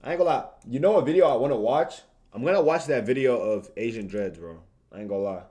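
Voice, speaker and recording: A man's voice: mid-range voice, fast, mellow. Slangy young man streaming casually. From Tanzania. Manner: informal, repeats phrases for emphasis. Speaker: streamer and video creator. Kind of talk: casual livestream chat, slangy.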